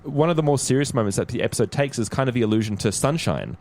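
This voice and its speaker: A man with a mid-range voice co-hosts a casual podcast. He thinks aloud in a halting way as he talks over television. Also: mid-range voice, lively intonation, darkish tone. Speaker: podcast co-host. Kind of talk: casual TV episode discussion.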